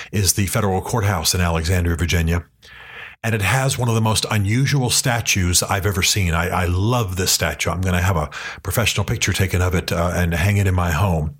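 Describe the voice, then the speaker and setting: Earnest, husky man. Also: deep voice, fairly bright tone. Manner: earnest, emphatic, slows down to stress key points. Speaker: podcast host. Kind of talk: passionate podcast monologue. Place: his office.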